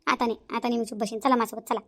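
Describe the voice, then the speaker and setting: A woman acts out an outspoken young wife in a cartoon family tale. Her high voice races along with a Varhadi accent, insistent and ready to argue. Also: flat delivery, rapid-fire. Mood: defiant. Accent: rural Maharashtra, Varhadi. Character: blunt, headstrong young daughter-in-law. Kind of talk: cartoon family drama acting.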